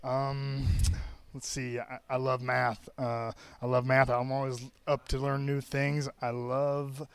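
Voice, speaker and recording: Flat-voiced laid-back male fighter. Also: medium pitch, takes it slowly, clear, resonant tone, no room sound. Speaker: veteran fighter. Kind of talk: casual interview.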